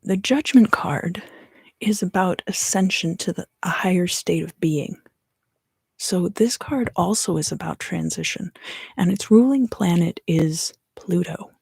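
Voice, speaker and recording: A woman speaks in a low, husky hush with some creak, often slipping into a whisper. She gives an unhurried ASMR tarot reading in short, explanatory phrases. The sound is dry and intimate, right up on the microphone. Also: no room sound. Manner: soft-spoken, often dropping into whispers. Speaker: tarot reader and ASMR host. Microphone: close microphone.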